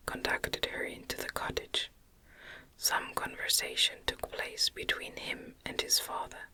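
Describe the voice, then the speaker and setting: A woman reading a novel aloud in a gentle, soothing, breathy ASMR whisper. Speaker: audiobook reader.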